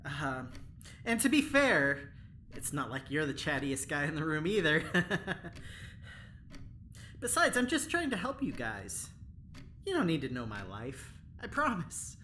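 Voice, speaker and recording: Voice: mid-range voice, big pitch swings, measured pace, long pauses, pushed and bright. A woman playing a chatty but evasive, eager-to-please prisoner, chirpy and hesitant, in a sci-fi audio drama. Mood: awkward, evasive. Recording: faint background noise.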